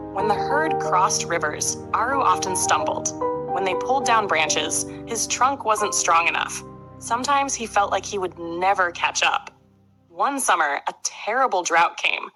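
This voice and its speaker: A woman narrating a scripted children's story with clear storybook phrasing. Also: fairly low pitch, sharp tone, low-quality recording. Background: faint music.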